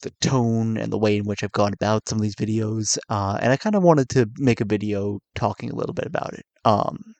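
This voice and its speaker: Young male vlogger, tired and a bit sick, low raspy voice, halting confessional delivery, dry close mic. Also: no room sound. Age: 20s. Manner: hesitant, soft-spoken, many fillers and restarts. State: tired, coming down with a cold. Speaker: YouTuber. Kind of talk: candid confessional vlog.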